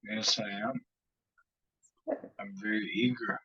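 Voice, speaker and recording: Hoarse man, slow and muffled. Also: lowish voice, level tone, pushed and bright. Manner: brief, halting replies. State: hoarse from laryngitis. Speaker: author and guest. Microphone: video call. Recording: muffled video call audio.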